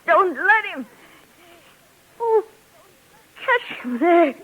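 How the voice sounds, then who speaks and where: A woman's voice: very high voice, very animated, extremely slow, phone-quality sound, heavily acted. An actress playing a tough, suspicious, curt and sardonic woman in a 1950s radio crime drama. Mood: anguished, gasping. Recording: noisy old radio recording.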